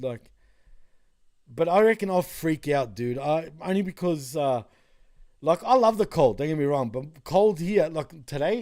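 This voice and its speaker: Australian male co-host, relaxed chat, laughs easily, slightly raised pitch. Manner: laid-back, laughs a lot, reads chat comments aloud. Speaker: podcast co-host. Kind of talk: casual live podcast chat.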